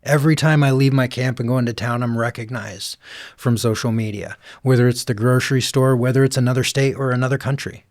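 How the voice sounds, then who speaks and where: Quiet-voiced man, relaxed storyteller. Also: mid-range voice, fairly quick, no echo, studio quality. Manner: relaxed, rambling with restarts. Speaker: guest. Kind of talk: casual interview conversation.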